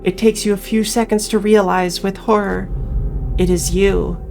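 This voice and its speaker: A woman's voice: medium pitch, steady tone, moderate pace, vocal fry. A woman reading a visual novel aloud as a player, adding her own casual commentary. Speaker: game player and narrator. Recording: music behind voice. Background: game music.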